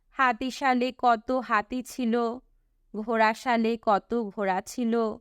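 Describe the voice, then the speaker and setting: A woman reading a storybook as an audiobook narrator, with a measured, deliberate storytelling delivery. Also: fairly high pitch, clear, resonant tone, no echo, studio quality.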